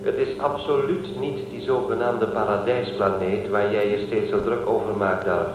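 An actor playing an evasive, placating male ship's computer in a radio play, with formal, measured speech. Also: on the low side, fairly even intonation, background noise, natural voice. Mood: placating, firm. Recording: very echoing, loud music behind.

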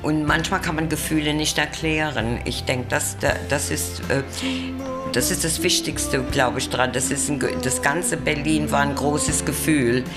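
A woman's voice: deep voice, fairly even intonation, a little slow. A woman being interviewed, reflecting and searching for her words. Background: loud music.

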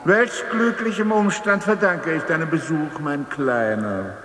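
Slow, kindly professor in echoey hall. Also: male voice, fairly high pitch, a bit bright. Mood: genial, stilted. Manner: slow, theatrically genial. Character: kindly male professor in a stilted stage play. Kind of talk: play-within-radio-comedy acting. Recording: echoey hall with faint hiss.

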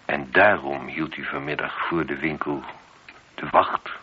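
An actor playing a stern, probing police inspector in a radio play, speaking with insistent authority. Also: male voice, a bass voice, unhurried, sharp tone, slightly rough, exaggerated voice. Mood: pressing, accusing. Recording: dull, noisy old radio recording.

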